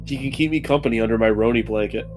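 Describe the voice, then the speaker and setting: A man's voice: medium pitch, racing, darkish tone, soft background music. A man playing a tabletop game, bantering casually with sweary, mock-dramatic jokes.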